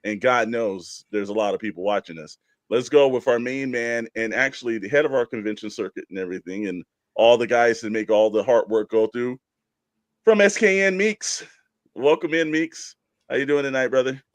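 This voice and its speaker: A man's voice: mid-range voice, moderate pace, no room sound. Man co-hosting with folksy hype, dry sound. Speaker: podcast co-host. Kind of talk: hype introductions of guests, casual live show.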